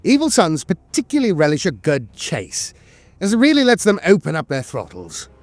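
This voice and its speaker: A man's voice: fairly high pitch, huge pitch swings, normal speed, no echo. A man reading scripted fantasy lore in a storyteller's delivery, as a narrator. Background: music.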